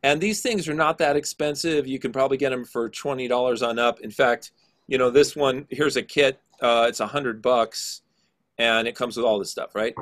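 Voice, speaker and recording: A middle-aged man with a low voice teaching an online class over a video call, explaining casually with many fillers. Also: fairly even intonation, moderate pace, a bit bright.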